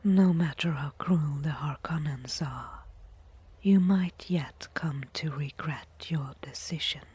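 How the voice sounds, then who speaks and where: A woman voicing a proud, disdainful desert tribeswoman who nurses reluctantly, in a breathy whispered ASMR roleplay with formal phrasing. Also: deep voice, darkish tone, exaggerated voice. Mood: stern, admonishing. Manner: slow, measured, formal phrasing.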